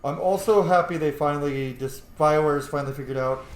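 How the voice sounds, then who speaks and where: A man's voice: fairly high pitch, fairly quick. A man giving casual, sweary gameplay commentary, thinking aloud and playfully bursting into silly exclamations. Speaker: streamer playing a role-playing video game. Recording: roomy echo, faint background noise.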